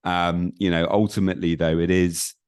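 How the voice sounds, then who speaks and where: A man hosting a conversational podcast interview, speaking haltingly and circling back over his words. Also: low-pitched, little pitch movement, a little mellow, clean tone, no room sound, clean studio sound. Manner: halting, rambling with repeated words. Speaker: podcast host.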